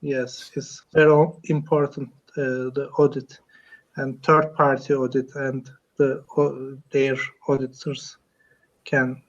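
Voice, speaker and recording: A man's voice: mid-range voice, little pitch movement, slow, lo-fi sound. A man from Turkey with a Turkish accent, speaking English as a learner on a video call, hesitant and full of fillers as he searches for words. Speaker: English learner, computer engineer. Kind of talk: casual online English lesson chat.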